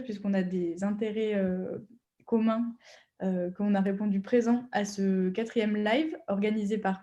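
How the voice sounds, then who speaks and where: A woman's voice: mid-range voice, dark tone, lo-fi sound. Hesitant woman hosting a webinar. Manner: hesitant, many fillers. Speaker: webinar host. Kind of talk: webinar moderation.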